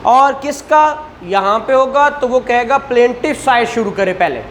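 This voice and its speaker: A Pakistani-accented law teacher speaks at a slow, deliberate pace in a conversational lecturing style. Points are repeated and framed as questions to the class. The recording carries noticeable ambient noise behind the voice. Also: medium pitch, expressive, fairly bright tone. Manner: didactic, repeats points and asks rhetorical questions. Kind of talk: explanatory law lesson, conversational.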